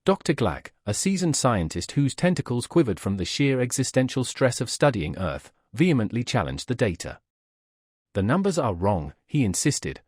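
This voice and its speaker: A man's voice: medium pitch, no echo, clean studio sound. A man narrating a scripted science fiction story in a wry storytelling style.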